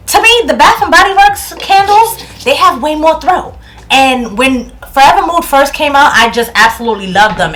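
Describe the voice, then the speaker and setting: A chatty woman in her thirties with a New York accent and a voice on the higher side. She talks casually from a room at home, laughs often, and sometimes trails off or restarts while searching for a word. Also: fairly bright tone. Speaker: beauty vlogger. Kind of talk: casual product review chat.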